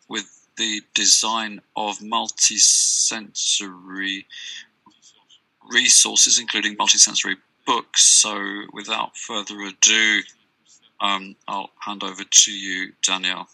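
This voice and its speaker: A man moderates an academic session, moving slowly through a speaker's introduction and stopping often on "uh" and "um". His voice sounds bright and dry but reaches the listener from some distance off the mic. He speaks in a flat, even tone. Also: fairly low pitch, dry recording. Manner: halting, with frequent fillers and restarts.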